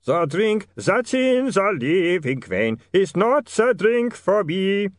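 A man's voice: a little high, very animated, normal speed, dry recording, studio quality, pitched above the performer's own voice. A man voicing an anguished, polite male vampire in an audiobook, with a trembling, wailing voice and melodramatic, pleading delivery. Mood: strained singing.

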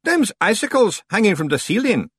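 A man's voice: sits high, very animated, slightly rough, dry recording, voice pushed up, heavily acted. A man voicing a tall, mysterious Scottish stranger, shifty and blustering, in a storybook reading. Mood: gruff, direct. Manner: hurried dialect speech, blustering excuses.

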